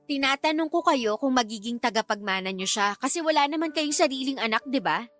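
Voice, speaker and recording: A woman's voice: high voice, expressive, fairly quick, a bit bright, soft background music. A woman acting an insolent, defensive young niece in a radio drama, sassy and argumentative, with a Filipino accent. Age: young adult.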